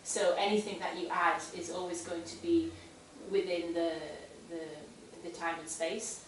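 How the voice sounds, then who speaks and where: A woman with a lowish voice works through her question aloud, pausing and restarting as she reasons. She is picked up from across a very reverberant room, with light hiss. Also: little pitch movement. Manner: thinks aloud, halting restarts. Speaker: student. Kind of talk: asking a question in class. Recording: very echoey room, distant mic.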